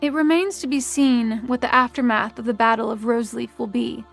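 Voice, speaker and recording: A woman playing a solemn, commanding visionary mentor in a fantasy game, speaking in a measured, portentous, rhetorical way. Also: a little high, fairly even intonation, vocal fry, soft background music. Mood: grave, ominous. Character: solemn, commanding female visionary mentor recruiting chosen leaders. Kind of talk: scripted fantasy game voice lines.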